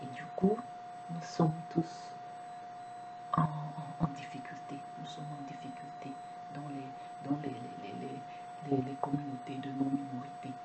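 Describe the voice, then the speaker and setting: Brief: woman, non-native French speaker, low pitch, earnest reflective delivery with restarts; noticeable background hum. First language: not French. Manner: earnest, many restarts and repeated words. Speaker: video presenter. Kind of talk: reflective monologue to camera.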